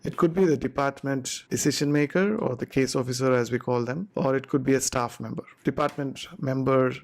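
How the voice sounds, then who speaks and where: A man giving an informal explainer talk to viewers, deliberate and step-by-step in short phrases. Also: medium pitch, vocal fry. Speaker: presenter explaining visa procedures.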